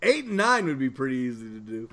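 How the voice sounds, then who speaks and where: A man with a medium-pitched voice co-hosts a podcast. He speaks informally, as in a loose chat among friends. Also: sing-song, quick pace, bright, forceful tone. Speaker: podcast co-host. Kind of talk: casual chat.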